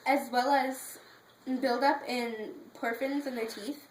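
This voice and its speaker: A woman giving a prepared informative speech with slides, in an explanatory, somewhat halting way. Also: high-pitched, steady tone. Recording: echoey room with faint background noise.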